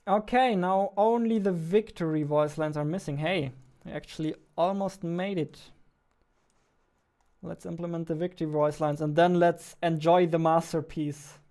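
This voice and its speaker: A man in his twenties talking casually as a game developer while coding, halting as he works at his desk. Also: a little high, fairly even intonation, darkish tone. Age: 20s. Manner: halting while typing, reads text aloud slowly. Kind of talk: casual narrated coding livestream or devlog. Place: indoors, at a computer desk. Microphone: studio mic on boom arm, close.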